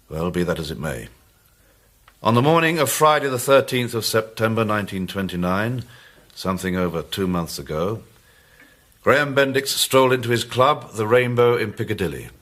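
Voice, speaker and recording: A stolid senior detective in a radio drama. His English voice is very low, and he sets things out in a measured, official way. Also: a bit bright. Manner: plain, deliberate, official. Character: stolid, measured male Scotland Yard chief inspector. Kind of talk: British radio play acting.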